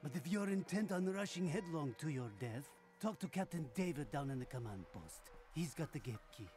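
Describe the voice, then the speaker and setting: A man plays an incredulous game townsman, dramatic and emphatic. His voice is fairly high with big swings, over clear music. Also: lively intonation, normal speed, lightly acted. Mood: incredulous warning. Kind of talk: video game voice acting.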